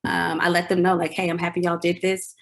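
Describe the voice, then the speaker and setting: A woman's voice: fairly low pitch, brisk. A woman in her forties, a singer as interview guest, telling stories in casual chat, laughing often and acting out what people said. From United States. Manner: animated storyteller, laughs often, acts out quotes.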